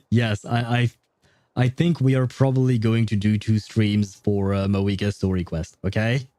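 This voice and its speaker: Mellow male game streamer, dry sound. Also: on the low side, steady tone, measured pace, dry recording. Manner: rambling, self-deprecating banter with viewers. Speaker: video game livestreamer. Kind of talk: casual livestream chat while gaming.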